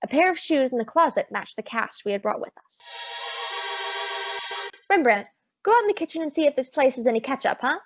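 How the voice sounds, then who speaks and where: Sassy high-voiced lady detective played by a woman. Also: lively intonation, faint music behind. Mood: brisk, confident. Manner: wry, brisk, teasing. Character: sassy, quick-witted female private detective, in command. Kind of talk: old-time radio drama acting with first-person narration. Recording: dull lo-fi audio.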